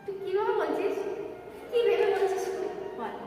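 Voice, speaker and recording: A woman's voice: extremely high pitch, big pitch swings, normal speed, mellow. A young woman chatting casually on a phone call. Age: young adult. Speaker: prank caller. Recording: very echoey, distant, music behind. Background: music.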